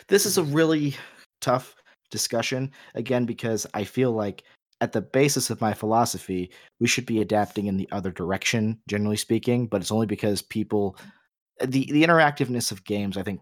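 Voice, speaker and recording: A man's voice: lowish voice, brisk, no room sound, studio quality. A man co-hosting a casual, opinionated discussion, explaining his points at length in a rambling, emphatic way. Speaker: podcast co-host.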